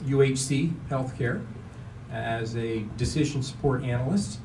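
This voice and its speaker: A man's voice: medium pitch, a little slow. A man in his fifties from Maryland, chatting in a class about his work and family with a self-deprecating touch. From Maryland, United States. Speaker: class member. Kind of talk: casual conversation. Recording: echoey room, distant mic, noise.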